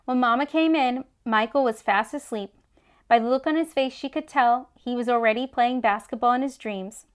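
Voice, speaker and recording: A woman reading a children's story aloud with expressive storybook narration. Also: a little high, moderate pace, clean tone. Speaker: children's read-aloud host.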